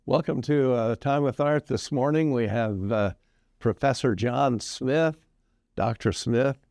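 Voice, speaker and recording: A man in his sixties hosting a casual morning radio interview, easygoing and quick to laugh. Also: mid-range voice, a little mellow, no echo. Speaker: radio host.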